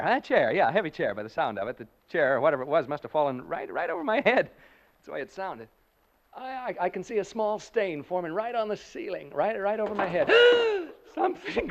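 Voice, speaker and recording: An actor playing a frightened American broadcaster unraveling in a haunted house, rambling and stammering in 1940s radio suspense style. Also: male voice, a little high, a bit bright. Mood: jittery worry. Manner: rambling, stammering, nervous laughter. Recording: dull, lo-fi vintage radio recording.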